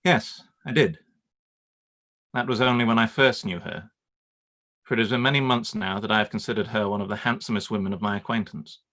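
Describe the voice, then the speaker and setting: A man playing a proud, reserved young gentleman in a period radio play, speaking in a clipped, formal way. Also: fairly low pitch, brisk. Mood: firm, defiant.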